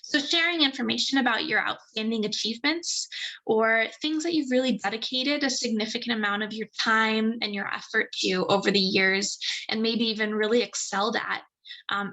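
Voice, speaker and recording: A woman with a slightly raised voice, speaking in a webinar over a video call. She walks through her points in a steady, explanatory way, with the odd "um" between thoughts. She sounds like a university admissions rep addressing prospective students. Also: on the high side, vocal fry, low-quality recording. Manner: steady explanatory delivery with frequent "um" fillers. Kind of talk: webinar presentation.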